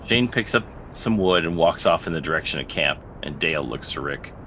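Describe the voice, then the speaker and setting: Deep, bright-voiced male narrator. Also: lively intonation, brisk, low-quality recording. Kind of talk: scripted stage-direction narration. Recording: video-call mic with background rumble.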